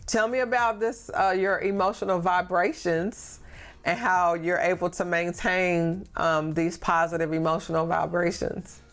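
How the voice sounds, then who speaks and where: A woman hosting a podcast interview, encouraging her guest with questions and steady mm-hmms. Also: fairly low pitch, unhurried. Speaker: podcast host.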